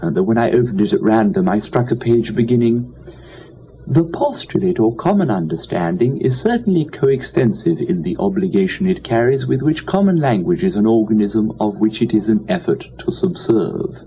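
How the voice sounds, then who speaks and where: A man acts out a foppish young English gentleman spinning a comic tale. He is cheerful and none too bright, full of chatty upper-class slang, with very lively swooping pitch. The recording is a little dull, with faint noise. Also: normal speed. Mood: rueful, amused. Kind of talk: dramatised first-person comic storytelling.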